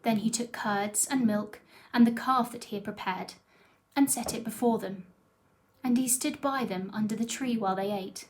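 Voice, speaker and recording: Woman, fairly high voice, scripted Bible reading for a church. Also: normal speed, a little hiss or hum.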